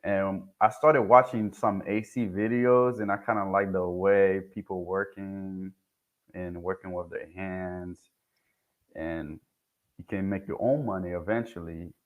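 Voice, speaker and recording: A man's voice: low-pitched, fairly even intonation, a little slow. A young man from Haiti, a technician who is the guest, talking hesitantly about his path into the trade. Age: young adult. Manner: soft-spoken, hesitant, restarts often. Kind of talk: casual interview talk. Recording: dull lo-fi sound.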